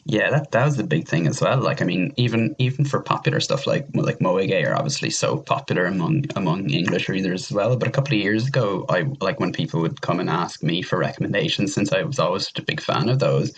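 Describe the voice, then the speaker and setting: A man's voice: sits low, expressive, fast talker, few pauses, husky. A young Irish man speaking casually as a podcast guest, rambling and repeating his words as he goes. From Ireland. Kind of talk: casual interview chat.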